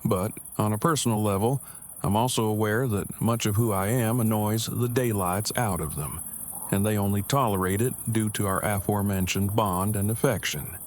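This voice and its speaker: An awkward, earnest man surviving the apocalypse played by a male actor speaks in a lowish voice. His phrasing is stiff, formal and long-winded. Clear night-time noise with crickets sits behind him in this audio drama. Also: normal speed, lightly acted. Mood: earnest, nervous. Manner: formal, wordy, stilted phrasing.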